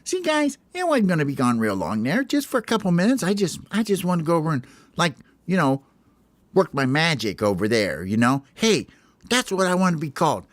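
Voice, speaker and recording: A man's voice: on the high side, very animated, a little fast, no echo, pitched above the performer's own voice. A man voicing a cocky, lovestruck young male sparrow who captains a basketball team, talking in a breezy, boastful way. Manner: boastful, breezy, easily distracted.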